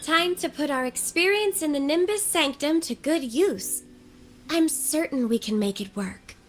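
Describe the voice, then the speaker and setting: A woman voicing a gentle, earnest young female scholar in a video game, speaking in a measured, articulate, softly formal way. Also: high-pitched, animated, a little hiss or hum. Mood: hopeful, resolved. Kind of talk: video game character dialogue.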